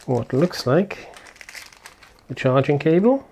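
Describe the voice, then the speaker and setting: A man's voice: on the high side, very animated, takes it slowly, vocal fry, mellow, a little hiss or hum. A man giving a casual, unscripted product unboxing and setup walkthrough, thinking aloud as he goes. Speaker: video host.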